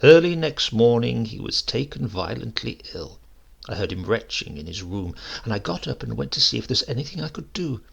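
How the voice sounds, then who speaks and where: A man with a gravelly, husky voice narrating a humorous short story in a measured, theatrical, scripted style. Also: mid-range voice, very animated.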